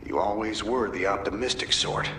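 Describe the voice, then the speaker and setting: A man's voice: lowish voice, moderate pace, background noise, close to an everyday voice. A man voicing a weary, sardonic human soldier in a video game, with terse, folksy delivery. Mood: grim, wry. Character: gritty human rebel commander, wry weary soldier. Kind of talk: video game cutscene voice acting. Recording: game audio, echo, loud music behind.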